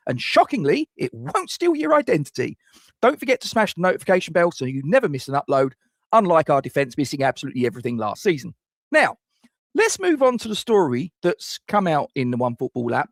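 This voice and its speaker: A man's voice: fairly high pitch, no echo, studio quality. A middle-aged man hosts a football fan channel, giving scripted transfer rumour commentary with wry, self-mocking jokes.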